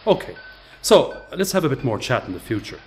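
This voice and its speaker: A kindly Irish tradesman in a radio play, with a mid-pitched, very animated delivery. He explains things informally and encouragingly. There is light workshop noise behind him. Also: a little fast. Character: patient, kindly male tradesman mentoring a young apprentice.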